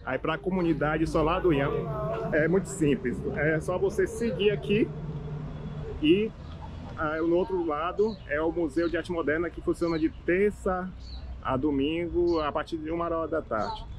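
A man's voice: fairly high pitch, normal speed, noisy background. A young man from Salvador with a Bahian accent, chatting casually as he walks viewers around an outdoor neighborhood, pointing things out as he goes. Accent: Bahian Brazilian Portuguese. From Salvador, Bahia, Brazil. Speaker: travel vlogger. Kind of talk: casual walking-tour vlog. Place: outdoors on a seaside hillside street.

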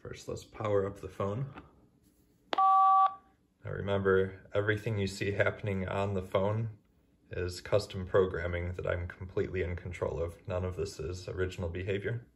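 A man's voice: sits low, sing-song, moderate pace, vocal fry, a bit bright. A man explaining his own electronics project in a plain, matter-of-fact way, recorded indoors at home by a camera. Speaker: hobbyist maker showing his project. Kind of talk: casual tech demo narration. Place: indoors at home, over a wooden table.

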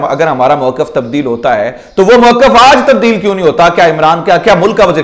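Higher-pitched Pakistani man giving news commentary. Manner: explanatory, with rhetorical questions and English words mixed in. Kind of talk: informal news commentary to camera. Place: indoor room in front of wooden doors.

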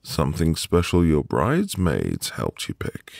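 A man playing a sweet, reassuring newlywed husband in an intimate ASMR roleplay, with a gravelly, breathy voice and a gentle manner. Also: extremely low pitch, wildly swooping pitch, no room sound, clean studio sound, exaggerated voice. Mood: reassuring, warm. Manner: slow, gentle, soothing. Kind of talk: ASMR boyfriend roleplay, intimate soft delivery.

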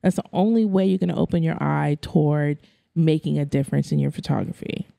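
A woman in her thirties, raised in the Philippines with Tagalog as her first language, talks frankly as a podcast guest. Her voice is dark and gravelly with fry, recorded close and dry on a studio mic. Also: sits low, no echo. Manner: direct, matter-of-fact, quick laughs. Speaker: guest photographer. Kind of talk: casual interview chat. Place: bright studio room. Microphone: studio mic on boom arm, headphones.

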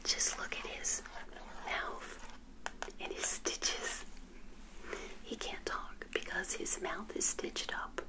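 An older woman whispering a gentle, hospitable ASMR roleplay as a friendly witch, softly repeating her phrases. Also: slow pace, pauses often, low-quality recording.

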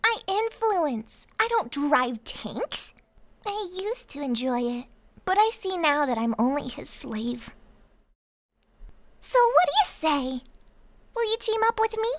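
A woman's voice: very high voice, exaggerated voice. A woman playing an aggrieved female social media influencer in a cartoon audio drama. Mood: pleading, persuasive.

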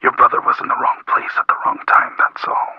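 An actor playing a surly, vengeful schemer in a video game, gruff and curt, with a rough rasp. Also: male voice, a little fast, dry recording, in a whisper, dull audio, natural voice. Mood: bitter, defensive. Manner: curt, defensive. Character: surly, resentful adult male schemer, double-crossed and vengeful.

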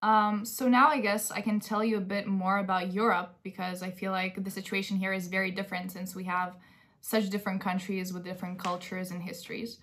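A woman's voice: mid-range voice, little pitch movement, a little fast, a bit bright. A young woman from Lithuania, presenting a talk show in a conversational way. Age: young adult. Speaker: co-host. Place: bedroom at home, indoors.

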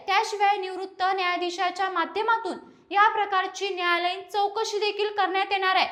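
Very high, bright woman news presenter. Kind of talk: scripted news explainer narration.

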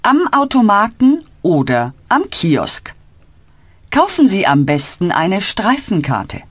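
Woman voicing a slow, helpful woman. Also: mid-range voice, sing-song, husky, no echo, full character voice. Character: helpful adult woman giving directions. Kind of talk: slow scripted learner dialogue.

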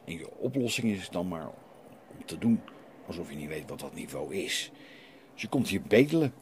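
A man's voice: sits low, big pitch swings, normal speed. A man playing a stern, defiant renegade priest in a radio play, challenging and preachy as he questions. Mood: reproachful. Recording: light background noise.

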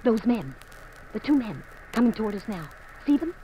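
An exotic young woman in an old radio mystery, begging urgently. Her voice is rather high and swings widely in pitch, over a noisy vintage recording. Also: big pitch swings, moderate pace, dark tone, highs cut off. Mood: desperate insistent. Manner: urgent, pleading. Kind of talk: 1950s radio drama acting.

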